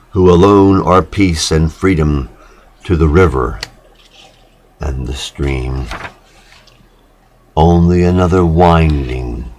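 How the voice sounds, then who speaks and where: A man giving a solemn literary reading, measured and dramatic, with pauses between short phrases. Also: a bass voice. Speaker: reader of poetic literature.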